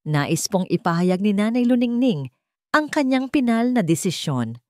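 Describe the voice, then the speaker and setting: A woman's voice: medium pitch, big pitch swings, moderate pace, darkish tone, no echo, clean studio sound, pitched above the performer's own voice, natural voice. A woman voicing a polite, composed male lawyer who speaks courteously and formally.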